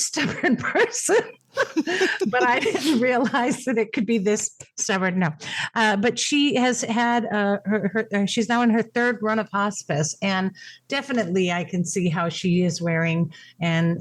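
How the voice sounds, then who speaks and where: A woman's voice: medium pitch, dry recording. A middle-aged woman, raised speaking Japanese, telling her caregiving story in a reflective interview with many fillers and restarts. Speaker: podcast guest, caregiver. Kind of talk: conversational interview.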